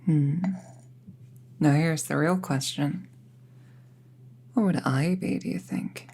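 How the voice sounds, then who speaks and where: A woman playing a friendly, chatty young apprentice witch in an intimate roleplay audio, musing casually in a soft, husky, breathy voice. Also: low-pitched, slow pace, exaggerated voice. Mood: playful, musing. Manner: casual, musing, softly breathy, often near a whisper.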